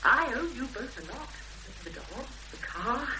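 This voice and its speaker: A woman playing an earnest young woman in a 1970s British radio drama, expressive and hesitant when pressed, on an old recording. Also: fairly high pitch, a little slow, background noise. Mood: anxious, pleading. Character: Emma, earnest young woman searching for missing sister.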